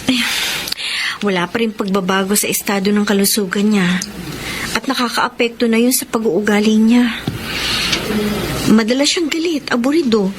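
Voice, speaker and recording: A woman's voice: on the high side, moderate pace, long pauses, noisy background, natural voice. A woman playing a devoted, pleading wife. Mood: confiding. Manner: earnest, emotional pleading. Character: devoted wife of a sick man, adult, steadfast. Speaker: radio drama actress. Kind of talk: Tagalog radio drama acting. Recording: radio drama with loud music bed.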